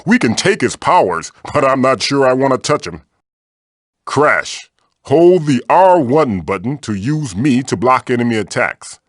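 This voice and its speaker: Floating tiki mask guide, video game, fatherly, wisecracking, theatrical, slow-paced, very dry close sound. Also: mid-range voice, big pitch swings, dry recording. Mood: wry. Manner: theatrical, encouraging, wry. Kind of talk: video game character voice lines, tutorials and quips.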